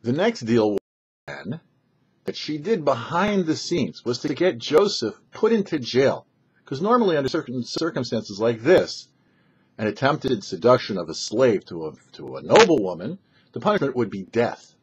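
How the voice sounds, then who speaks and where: A man, a rabbi hosting a short religious commentary, telling and explaining scripture with emphatic, halting phrasing and pauses. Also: medium pitch, very animated, slightly rough. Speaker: rabbi and host of a weekly Torah talk show. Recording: dull, lo-fi sound.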